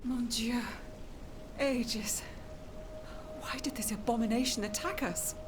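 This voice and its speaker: A woman's voice: a little high, steady tone, measured pace, noisy background, close to an everyday voice. An actress playing a grieving, vengeful queen in a video game cutscene, theatrical and imperious. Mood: distressed. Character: grieving, vengeful queen mourning her young son. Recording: dramatic music behind the voice. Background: music.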